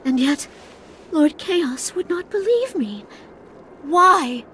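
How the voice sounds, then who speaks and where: A woman's voice: high voice, animated, slow pace, sharp tone, a little hiss or hum, exaggerated voice. An actress playing an anguished but resolute young noblewoman in a fantasy game, earnest and dramatic. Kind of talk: video game cutscene acting.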